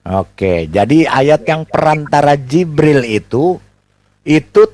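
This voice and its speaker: A man's voice: fairly high pitch, lively intonation, slow, a little mellow. A man in an unscripted, heated religious debate, questioning and moderating insistently and repeating himself for emphasis.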